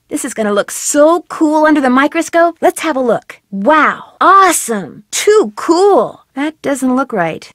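A woman's voice: high-pitched, a bit bright, dry recording. A curious young girl detective. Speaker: game protagonist. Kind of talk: recorded game voice lines. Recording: dry studio voice recording.

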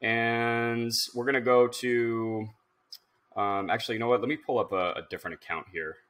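A man in his thirties presenting a casual screen-share software tutorial, informal and instructional, thinking aloud as he goes. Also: medium pitch, fairly even intonation, moderate pace.

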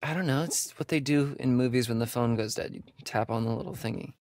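An actor playing a sarcastic young American camp counselor in a horror video game, hesitant and conversational in delivery. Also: male voice, medium pitch, brisk, a little mellow, dry recording, clean studio sound, close to an everyday voice. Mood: defensive, wry. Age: young adult. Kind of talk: naturalistic horror game dialogue.

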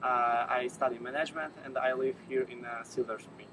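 A young man with a Polish accent gives a testimonial in a mid-pitched voice. He sounds a little away from the mic, outdoors with clear noise behind him. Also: fairly even intonation, brisk. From Poland. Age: 20s. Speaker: summer work participant, lifeguard. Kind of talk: on-camera testimonial. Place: outdoors by swimming pool. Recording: distant mic, noisy outdoor pool area.

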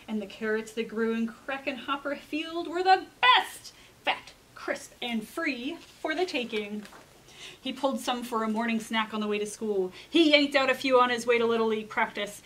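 An American woman in her thirties presenting and reading as a children's librarian, expressive and clearly enunciated, with dramatic pauses. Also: fairly high pitch, slight background noise, low-quality recording. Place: indoor library room.

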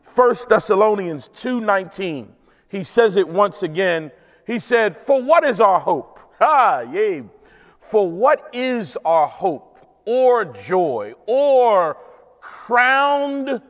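High-pitched male preacher in a reverberant hall. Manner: emphatic, repeats phrases for effect. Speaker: pastor. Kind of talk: sermon. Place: large church auditorium stage. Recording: echoing large hall, long reverb.

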